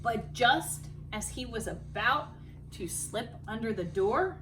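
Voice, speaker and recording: A woman reading a children's picture book aloud expressively, with dramatic, deliberate phrasing and emphasised words. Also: a little high, lively intonation, noisy background. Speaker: read-aloud storyteller.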